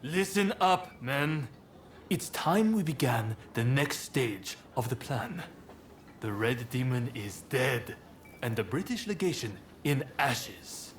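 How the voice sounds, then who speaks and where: A man's voice: on the high side, fairly bright tone, full character voice. A man playing a fervent rebel leader in a video game, declaiming with authority to his men. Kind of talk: video game cutscene acting. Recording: faint ambient noise behind.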